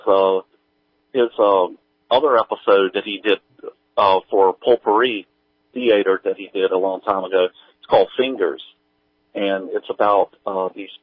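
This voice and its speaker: A man speaking as an interview guest, chatting casually in a halting, folksy, informal way. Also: on the low side, dry recording. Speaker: guest, audio drama creator. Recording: tinny, phone-like band-limited line.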